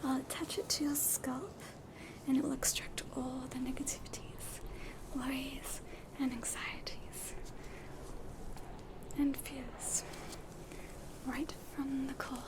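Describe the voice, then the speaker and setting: A woman with an Australian accent whispering a gentle, reassuring ASMR roleplay as a spooky hospital doctor. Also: high-pitched, steady tone, takes it slowly, long pauses, low-quality recording. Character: soothing, spooky hospital doctor treating hauntings. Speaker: ASMR performer playing a doctor.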